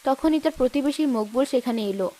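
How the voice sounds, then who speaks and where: A woman's voice: sits high, expressive, fast, dark tone. A woman narrating a scripted cartoon story.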